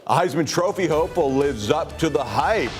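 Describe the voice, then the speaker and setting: A man's voice: fairly high pitch, expressive, moderate pace, music in the background. A man anchoring a local TV newscast, reading the script in a polished anchor style. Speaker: news anchor.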